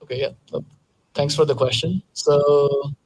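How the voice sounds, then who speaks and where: A man's voice: a little high, fairly even intonation, a little slow, darkish tone, rough recording. A young man from Nepal, an engineer speaking as a panel guest, explaining casually with many fillers. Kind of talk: panel Q&A answers.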